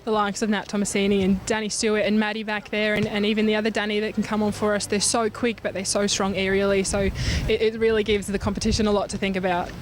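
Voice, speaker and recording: Woman, young adult, Australian accent, casual post-match interview, average pitch, slight outdoor background noise. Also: flat delivery, fast, few pauses. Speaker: netball player. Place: outdoors at a sports reserve.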